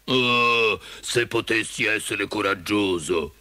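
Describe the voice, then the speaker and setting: A man voicing a big, gentle cowardly lion in a storybook, with a slow, sighing, mournful drawl. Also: on the low side, faint music behind. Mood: ashamed, mournful.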